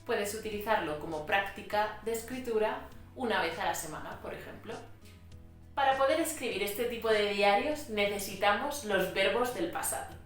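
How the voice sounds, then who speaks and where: A woman from Spain explains language tips in a patient, clear teaching voice. She sounds set back from the mic, with a roomy echo around her and soft music underneath. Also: mid-range voice, expressive, moderate pace. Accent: Spain Spanish. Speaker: Spanish teacher. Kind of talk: educational vlog narration. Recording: echoey room, mic at a distance.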